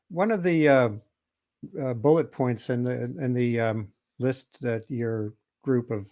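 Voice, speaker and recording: Male host, gravelly and hesitant. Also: medium pitch, takes it slowly, a little mellow. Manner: hesitant questions with many restarts. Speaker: webcast host. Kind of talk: hosting a webcast discussion.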